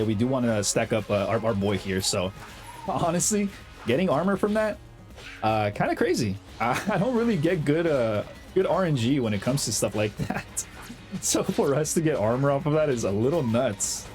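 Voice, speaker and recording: A young man with a slight cold, chatting excitedly over his own gameplay as the host of a gaming channel, full of slang and fillers. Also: medium pitch, moderate pace, faint music behind. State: has a cold, slightly hoarse. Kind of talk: casual gameplay commentary.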